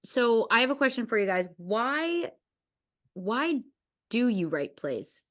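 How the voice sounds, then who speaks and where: A woman's voice: fairly high pitch, sing-song, slow pace. Casual, earnest woman podcast host. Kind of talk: casual conversational interview.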